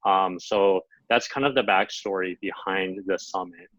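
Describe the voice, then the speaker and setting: An American man in his thirties from Los Angeles, a guest on a podcast, telling his background in a relaxed way with lots of "um" and "you know". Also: low-pitched, measured pace, no room sound, lo-fi sound. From United States (Los Angeles). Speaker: guest, Amazon seller and sourcing coach. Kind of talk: podcast interview, casual storytelling.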